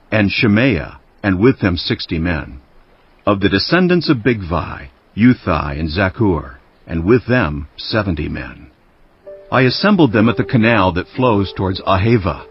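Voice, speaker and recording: Male Bible narrator, low register, very animated intonation, solemn dramatized delivery over music. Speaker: scripture narrator. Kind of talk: dramatized Bible narration, first-person scribe's account. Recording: dull lo-fi audio with music bed. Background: background music.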